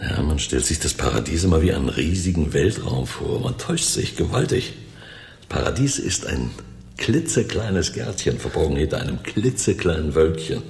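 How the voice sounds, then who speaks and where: An actor playing a self-assured, cautious crook in a radio crime drama, speaking deliberately with sardonic maxims. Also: male voice, rumbling low, lively intonation, creaky, a little mellow, heavily acted. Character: wry, self-assured male crook, cautious gang planner. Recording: roomy echo, faint background noise.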